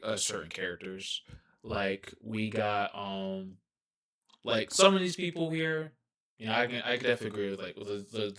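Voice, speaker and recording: A young man talks softly and casually as he reacts to a trailer. He rambles and restarts often, filling gaps with ums and likes. His voice sits somewhat low. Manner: soft-spoken, rambling with many fillers. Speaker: reaction video host.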